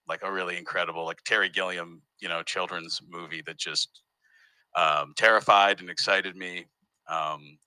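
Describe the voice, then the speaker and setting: Brief: low, bright-toned male comedian, meandering and chatty, dry close sound. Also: no echo, low-quality recording. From United States. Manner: rambling, self-interrupting storyteller. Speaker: comedian guest. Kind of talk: casual interview conversation.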